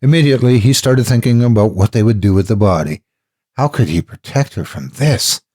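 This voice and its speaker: A man's voice: medium pitch, expressive, a little fast, a little mellow. A man narrating scripted horror stories dramatically as host, close to the microphone.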